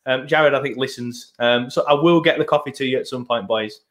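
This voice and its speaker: A man's voice: mid-range voice, brisk. A man hosting a casual football podcast, chatty and rambling with lots of ums, recorded at his laptop. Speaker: podcast host. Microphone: laptop setup, video podcast.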